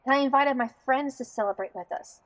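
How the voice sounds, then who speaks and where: A feisty young farm woman about to marry, acted by a woman in an audio drama. She speaks bluntly and sardonically in a slightly high voice. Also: fairly quick, close to an everyday voice. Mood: exasperated. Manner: forthright, sardonic.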